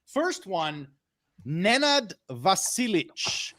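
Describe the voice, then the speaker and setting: A man's voice: sits high, big pitch swings, extremely slow, bright, forceful tone, no room sound. A man presenting music picks on an audio roundtable show, enthusiastic and rambling, often repeating words. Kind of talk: casual roundtable chat.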